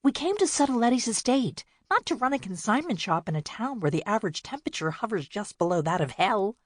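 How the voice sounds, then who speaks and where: A woman's voice: mid-range voice, very animated, brisk, no room sound, clean studio sound, pitched above the performer's own voice. A woman voicing a proud, bitter older sister who talks defiantly and mockingly. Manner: defiant, mocking, sharp-tongued.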